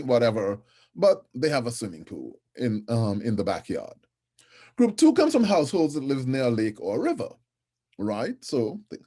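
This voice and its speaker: A middle-aged man from Trinidad and Tobago giving a conference lecture in a conversational way, often checking in with "right?" and filling pauses with "um". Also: mid-range voice, darkish tone, breathy edge. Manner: conversational, with frequent tag "right?" and fillers.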